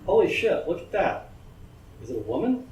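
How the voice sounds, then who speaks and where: A man voicing a crude, excitable young street thug who swears and leers, in an echoey, noisy recording from a distance. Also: high-pitched, normal speed, pitched above the performer's own voice. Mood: excited.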